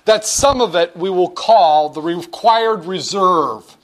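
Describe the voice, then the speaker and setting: A man's voice: sits high, slow, rough recording. A man giving an economics lecture in a playful way, acting out little dialogues between a banker and customers to explain his points. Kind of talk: classroom lecture.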